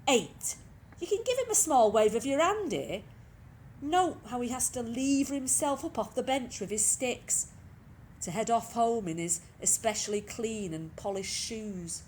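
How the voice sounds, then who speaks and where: Slow, expressive woman storyteller over background noise. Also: on the high side. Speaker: reader of short stories. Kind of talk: expressive scripted story reading. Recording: clearly noisy with background ambience.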